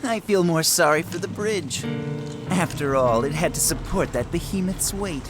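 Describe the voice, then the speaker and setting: A man's voice: on the high side, moderate pace, close to an everyday voice. An actor playing a haughty, callous villainous nobleman in a video game, with a disdainful drawl. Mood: scornful. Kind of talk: video game cutscene acting. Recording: music behind the voice.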